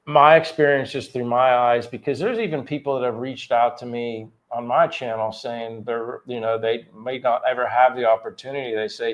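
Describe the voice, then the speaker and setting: A man in his fifties from Pennsylvania, chatting earnestly and a bit ramblingly on a livestream. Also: mid-range voice. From Pennsylvania, USA. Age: 50s. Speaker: livestream co-host. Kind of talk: casual livestream chat.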